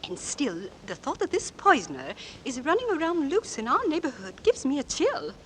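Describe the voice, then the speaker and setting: Middle-aged busybody, high bright voice, wildly swooping intonation, gushing, fussy, vintage radio drama. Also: female voice, huge pitch swings, moderate pace, heavily acted. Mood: deliciously uneasy. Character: chatty, tactless neighbour lady and mother, delighting in cheerful horrors. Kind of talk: 1940s radio acting. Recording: noisy vintage radio recording.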